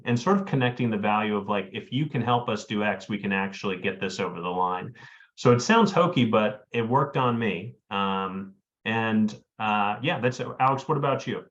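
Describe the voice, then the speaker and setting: Man, from Florida, panelist, rambling, many fillers, low voice, lo-fi call audio. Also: a bit bright. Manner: rambling, full of fillers and restarts. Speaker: webinar panelist. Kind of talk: casual panel discussion. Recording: dull lo-fi audio.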